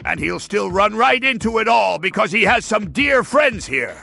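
A man's voice: high-pitched, very animated, normal speed, vocal fry, sharp tone, exaggerated voice. A man playing a pompous, gloating mad-scientist villain in a theatrical comic dub. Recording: music behind the voice.

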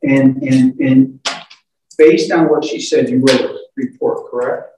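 A man speaking as a defense attorney in court, questioning formally and a little haltingly. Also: medium pitch, fairly even intonation. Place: courtroom, picked up from afar. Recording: very echoey courtroom, distant mic, faint noise.

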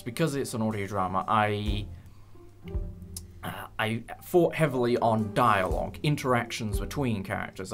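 A young man chatting casually in an interview, hesitant and rambling with many pauses. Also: on the low side, fairly bright tone. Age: young adult. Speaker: creator of a fan audio drama. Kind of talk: casual behind-the-scenes interview. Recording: light music bed under speech. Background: background music with guitar.

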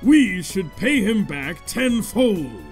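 An earnest, courteous beetle explorer voiced by a man who speaks slowly with a lively rise and fall. Soft music plays in the background. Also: sits high, heavily acted. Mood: urgent. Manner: polite, earnest, slightly formal. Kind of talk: fan dub of a video game.

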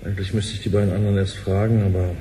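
A man's voice: low-pitched, flat delivery, rapid-fire, warm tone, slight background noise, natural voice. A man playing a grave, determined executive in a radio drama. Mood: grave, resolved. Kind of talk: dramatic radio play acting.

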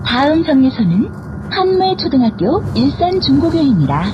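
A woman reading scripted transit stop announcements in a formal, clearly enunciated way. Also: sits high, very animated, normal speed, lo-fi sound. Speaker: bus announcer. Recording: clear vehicle noise throughout. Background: bus ambience and vehicle noise.

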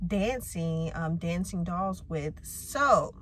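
A woman's voice: lowish voice, lively intonation, slow, fairly bright tone, a little hiss or hum. Chatty young woman vlogging from her car. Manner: chatty, thinking aloud while driving. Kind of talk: casual vlog chat. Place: parked car. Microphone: phone camera at arm's length.

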